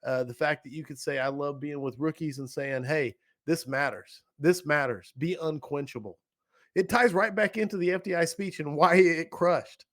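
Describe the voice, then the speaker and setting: A man's voice: fairly high pitch, moderate pace. A man hosting a casual podcast interview, enthusiastic and playful, laughing often. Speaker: podcast host.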